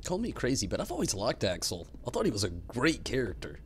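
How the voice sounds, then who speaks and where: A man's voice: medium pitch, huge pitch swings, fast, a little hiss or hum. A man giving casual streamer commentary over game cutscenes. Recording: loud game music behind.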